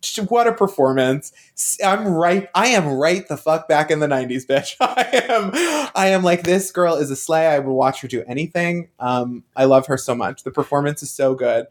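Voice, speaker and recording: Animated, effusive man chatting casually. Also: on the high side, normal speed, hardly any pauses. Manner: effusive, campy slang, emphatic. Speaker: podcast panelist. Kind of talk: casual pop-culture chat.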